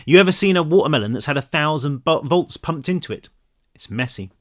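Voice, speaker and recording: A man's voice: on the high side, animated, fairly quick, fairly bright tone. A man voicing a fierce, ruthless woman in an audiobook, snapping impatiently and threateningly.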